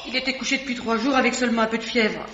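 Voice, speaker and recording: An actress playing a bossy, dramatic hotel keeper in a 1950s French radio mystery, brisk and exclamatory. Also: female voice, on the high side, fairly even intonation, fairly bright tone, close to an everyday voice. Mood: shocked, distressed. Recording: dull, roomy, hissy vintage radio recording.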